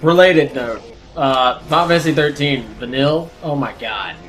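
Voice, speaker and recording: A man's voice: medium pitch, normal speed, music in the background. A young man chatting animatedly while gaming, profane and jumping from topic to topic. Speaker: let's play commentator. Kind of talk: casual gaming chat.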